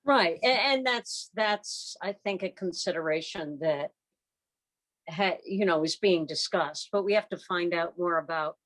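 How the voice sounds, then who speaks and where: A woman speaking in a club meeting over a video call, explaining things in a measured way. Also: lowish voice, a bit bright. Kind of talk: meeting discussion.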